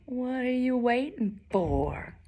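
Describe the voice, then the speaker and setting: A woman voicing a big, fearless male billy goat from a storybook, calm and unhurried. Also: on the high side, pitched above the performer's own voice, full character voice. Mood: bold, calm.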